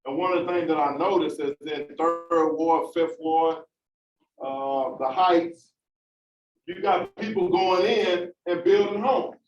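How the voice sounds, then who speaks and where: A man's voice: a little high, slow pace, soft background music. A man speaking emphatically at a community panel, with preacher-like repetition. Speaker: formerly incarcerated community speaker. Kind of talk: impassioned panel remarks. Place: large meeting room. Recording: roomy, distant, dull audience recording.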